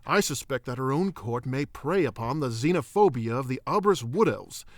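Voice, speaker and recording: A man playing a humble, troubled elf king and father in a fantasy audio drama, reflective and gently formal. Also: medium pitch, animated, normal speed, dry recording, studio quality, close to an everyday voice.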